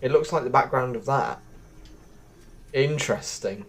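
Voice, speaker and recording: A man's voice: mid-range voice, unhurried, slight background noise. A man hosting a casual gameplay walkthrough, thinking aloud and talking straight to his viewers. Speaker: gaming video host.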